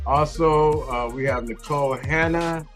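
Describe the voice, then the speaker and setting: An older man hosting a talk show, hoarse, clearing his throat often and saying "you know what I'm saying" a lot. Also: fairly high pitch, slow pace, a bit bright, soft background music. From California. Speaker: host. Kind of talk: casual talk show monologue.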